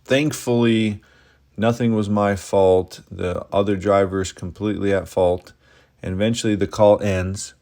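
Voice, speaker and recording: A man's voice: on the low side, steady tone, measured pace, mellow. A man telling a first-person story in plainspoken, dramatic present-tense phrases. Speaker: diesel mechanic telling his own story.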